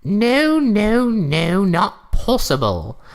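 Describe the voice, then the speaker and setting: A woman's voice: mid-range voice, huge pitch swings, drawn-out words, heavily acted. A woman playing a prim, bossy old wife giving a theatrical comedic reading of a horror story. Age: older.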